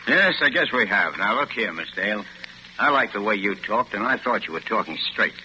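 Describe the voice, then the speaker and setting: A male actor playing a plain-spoken, sceptical small-town police chief in a 1940s radio drama, with a folksy, halting, matter-of-fact manner. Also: on the low side, very animated, bright, forceful tone, full character voice. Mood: stern, sceptical. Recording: muffled, noisy 1940s radio recording.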